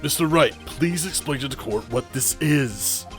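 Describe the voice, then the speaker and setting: A man plays a stately courtroom judge in a video game. He speaks formally and patiently, with measured authority, and music sits faintly behind him. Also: mid-range voice, lightly acted. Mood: curious, formal. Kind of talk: improvised video game voice-over.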